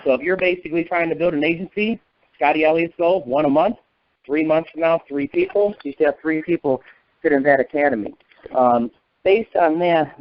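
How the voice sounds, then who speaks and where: An American man of forty-five, a folksy fast talker giving a motivational sales training talk over the telephone. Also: a little high, dry recording. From northern United States. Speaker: sales agency leader and trainer. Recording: narrow, tinny conference-call phone line.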